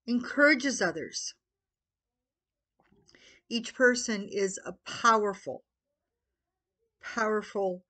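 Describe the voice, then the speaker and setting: An older American woman giving an earnest promotional talk to camera, with deliberate, halting phrasing and pauses. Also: medium pitch, a bit bright. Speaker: petitioner promoting own website. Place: small living room at home, indoors.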